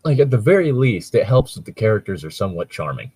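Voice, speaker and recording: A man's voice: fairly low pitch, sing-song, quick pace, a little mellow, breathy edge. A man casually reading and critiquing a story as a podcast host, rambling, sweary and critical. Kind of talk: casual commentary with read-aloud passages.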